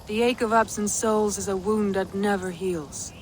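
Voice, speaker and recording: A woman's voice: medium pitch, steady tone, normal speed, a little mellow, a little hiss or hum, lightly acted, rough recording. An actress playing a grave, brooding female Viking jarl in a video game cutscene.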